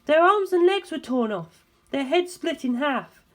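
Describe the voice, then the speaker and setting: A woman voicing an intent, earnest young woman who explains dark legends deliberately and emphatically in an audiobook. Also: sits high, expressive, voice pushed up. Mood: grave, emphatic.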